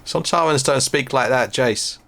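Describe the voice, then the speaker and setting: A man's voice: mid-range voice, normal speed, vocal fry, fairly bright tone. A middle-aged man from Suffolk with an English accent, giving casual reaction commentary as a YouTube host. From Suffolk, England.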